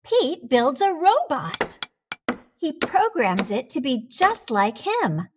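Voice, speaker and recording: A woman reading a children's picture book aloud in an expressive, deliberate storytelling manner for young listeners. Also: sits high, background noise.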